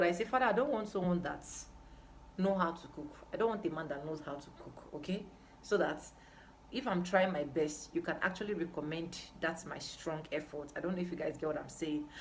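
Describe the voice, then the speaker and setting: Low-pitched Nigerian woman vlogger, noisy background. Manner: emphatic, repeats phrases for stress. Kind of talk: casual chat to camera.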